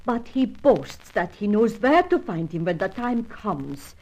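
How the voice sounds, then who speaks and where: An anguished, melodramatic European wife. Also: female voice, mid-range voice, big pitch swings, a little slow, a little mellow, poor audio. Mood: grave, explaining. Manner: melodramatic, formal, careful phrasing. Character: distressed European wife of a dead man, fearful, confiding. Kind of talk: old radio adventure serial acting.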